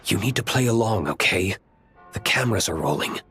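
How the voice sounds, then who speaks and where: Casting: composed young male guide for a fantasy game, lowish voice, matter-of-fact and helpful, light music bed. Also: lively intonation, a little fast, fairly bright tone. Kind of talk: scripted video game voice acting.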